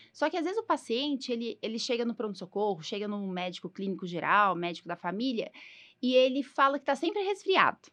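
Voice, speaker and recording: A woman's voice: a little high, a bit bright. Chatty Brazilian woman podcast host. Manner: chatty, many fillers like "né". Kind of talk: casual podcast chat.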